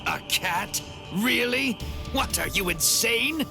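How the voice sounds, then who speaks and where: An actor playing a proud, brash wounded fighter in a video game, with a gruff, mocking and dramatic delivery. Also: male voice, extremely high pitch, animated, takes it slowly, music in the background, heavily acted. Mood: incredulous, mocking. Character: brash wounded young male warrior, proud and scornful. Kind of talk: English video game voice acting.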